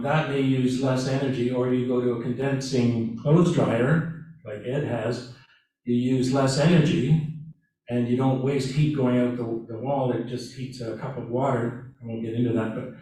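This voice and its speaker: A man giving a webinar presentation, speaking haltingly with restarts and fillers, recorded from a distance in a meeting room. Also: medium pitch, dark tone. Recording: echoey room, from far off.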